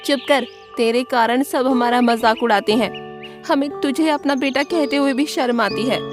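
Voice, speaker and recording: Woman putting on a high, scolding mother swan. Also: moderate pace, music in the background, lightly acted. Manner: complaining, scolding. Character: scornful, harsh mother swan. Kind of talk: animated moral story dialogue.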